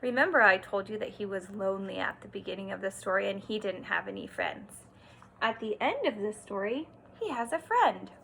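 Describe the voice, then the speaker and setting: Kindergarten teacher lady reading a storybook out loud, bright highish voice, asks the kids questions, some noise behind her. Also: fairly quick, vocal fry, rough recording. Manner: expressive, emphatic storytelling with simple words and questions to the listener. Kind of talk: read-aloud lesson for young children, pausing to explain and ask questions.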